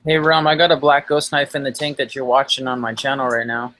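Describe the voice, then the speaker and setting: A man's voice: mid-range voice, fairly even intonation, fairly quick, sharp tone, a little hiss or hum. Male stream guest, casual, hesitant, restarts a lot. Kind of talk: casual conversation.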